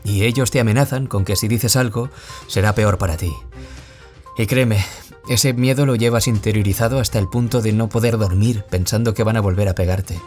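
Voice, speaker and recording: A man's voice: fairly low pitch, fairly even intonation, brisk, darkish tone, soft background music, lightly acted. An actor playing a wounded young man from Spain who was bullied, confiding his story as an audio-drama interviewee with a Spain Spanish accent. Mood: anguished, confiding. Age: young adult. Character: wounded young man, former bullying victim, anguished, confiding. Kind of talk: scripted audio-drama monologue in interview form.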